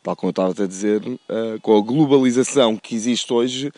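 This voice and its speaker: Calm lower-voiced man guest. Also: fairly quick, no room sound. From Portugal. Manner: calm, hesitant, many fillers. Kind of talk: interview answers.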